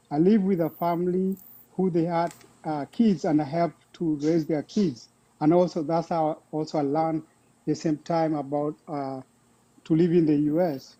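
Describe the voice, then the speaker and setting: Middle-aged Kenyan man, high mellow voice. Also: dull audio. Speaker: guest caller. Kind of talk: casual personal talk.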